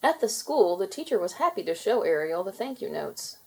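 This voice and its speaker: A woman reading a children's storybook aloud in expressive narration for kids. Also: mid-range voice, normal speed, creaky, husky.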